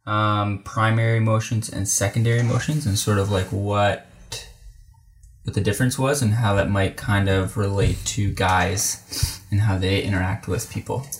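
Guy explaining stuff slowly and thoughtfully, kinda low voice, lots of um and uh. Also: flat delivery, slight background noise. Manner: thoughtful, explaining, many fillers. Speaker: guest, family counselor. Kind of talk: casual interview talk.

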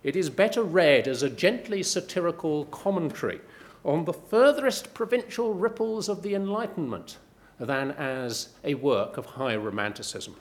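A middle-aged male professor giving a prepared, measured talk with dry, wry asides. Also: on the high side, lively intonation. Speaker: professor giving a book pitch.

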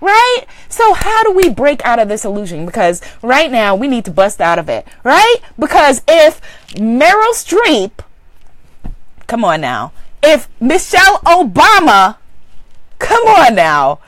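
Brief: woman motivational speaker, exclamatory, builds through repetition, bright high voice. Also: wildly swooping pitch, measured pace, dry recording. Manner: emphatic, exclamatory, preacher-like repetition. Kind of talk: inspirational talk to women.

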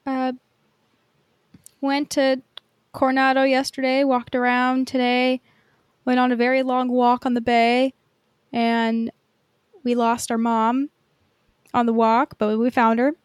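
Casual podcast host, American woman. Clean, resonant, higher-pitched voice with informal, "like"-peppered delivery. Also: completely flat delivery, takes it slowly, pauses often, clear, resonant tone, no echo, clean studio sound.

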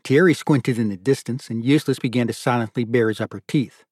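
A man narrating literary fiction from a script in a measured, deliberate storytelling manner. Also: medium pitch, a little mellow, slightly rough, dry recording, clean studio sound. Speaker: audiobook narrator.

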